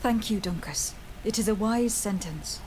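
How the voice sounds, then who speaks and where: A composed young noblewoman envoy from a fantasy game, played by an actress, speaks with formal courtesy. She is earnest and diplomatic, choosing her words in a measured way. Her mid-pitched voice sits over faint background noise. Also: darkish tone, lightly acted. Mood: courteous, composed. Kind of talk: video game voice acting.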